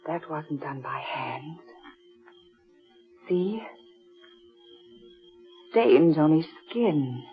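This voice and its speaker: An actress playing a fearful woman in an old-time radio horror drama, with a breathy, dramatic delivery. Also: sits low, lively intonation, extremely slow, long pauses, full character voice. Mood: horrified. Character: fearful, hushed woman named Clara in horror tale. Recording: dull vintage radio recording with music.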